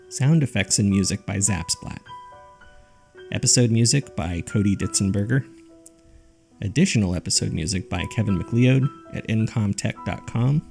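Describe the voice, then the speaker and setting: Low, gravelly male narrator. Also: a little mellow. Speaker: host and narrator of a fiction horror podcast. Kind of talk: scripted narration. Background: background music.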